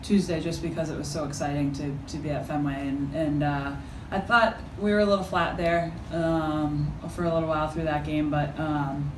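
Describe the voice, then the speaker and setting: A middle-aged woman head coach answering postgame questions at a press conference, halting and full of fillers and restarts. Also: low voice, steady tone. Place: press conference room with backdrop. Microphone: table mic from a few feet. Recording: echoey room, mic at a distance, noisy.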